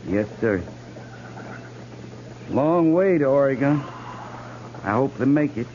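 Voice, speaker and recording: An actor playing a plain-spoken, bashful cavalry sergeant with a folksy, rustic way of talking in a 1950s radio western. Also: male voice, medium pitch, animated, warm tone. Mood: wistful. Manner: folksy, rustic, halting when embarrassed. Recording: muffled, noisy 1950s radio recording.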